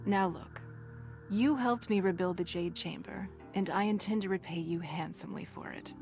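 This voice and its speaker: Shrewd, stately female tycoon for a game, acted by a woman: lowish pitch, measured, formal, quietly commanding. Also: soft background music, lightly acted. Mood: shrewd, assured. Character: poised, wealthy businesswoman leader, composed, shrewd and formal. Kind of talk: video game voice acting.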